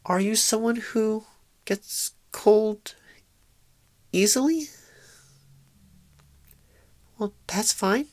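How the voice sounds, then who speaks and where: A woman playing a shy, inexperienced, nervous job recruiter in an ASMR roleplay, halting and stammering in a soft-spoken, awkward way. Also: a little high, dry recording. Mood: awkward, tentative.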